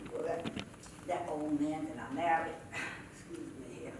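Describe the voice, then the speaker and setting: A woman's voice: deep voice, steady tone, normal speed, natural voice. A woman playing a mischievous old female stand-up comic, a gleefully wicked widow with a folksy drawl, delivering a theatrical comedy monologue. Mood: confiding, mock-innocent. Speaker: stage performer impersonating a classic comedienne. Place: indoor stage performance, distant microphone. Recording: roomy, noisy stage recording from far off.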